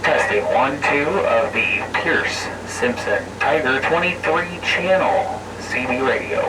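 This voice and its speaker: A man's voice: mid-range voice, slow pace, distant mic, heavy background noise. An American man speaking as a radio operator making test transmissions, heard through a CB radio.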